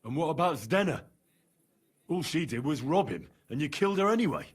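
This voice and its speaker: A young investigator in a medieval video game. His voice is rough-edged and a little high for a man. He presses with stern, direct, exasperated questions. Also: very animated, fairly quick. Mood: incredulous. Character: earnest young male investigator, stern and probing. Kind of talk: dramatic video game acting.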